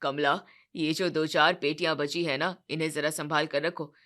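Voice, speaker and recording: A woman as boastful middle-aged father-in-law, grumbling, lowered voice, storybook. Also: little pitch movement, normal speed, a bit bright.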